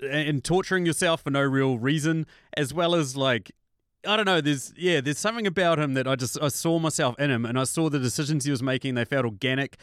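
A young man with a New Zealand accent in casual chat, rambling, animated and swearing freely. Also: on the high side, brisk, clean tone, no room sound, studio quality.

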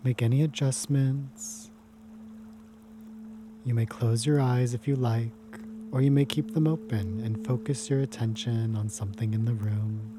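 A man leads a guided meditation in a gentle, unhurried manner, leaving long pauses between phrases. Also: medium pitch, steady tone, background noise. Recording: music behind the voice. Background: prominent sound bath instrumental music.

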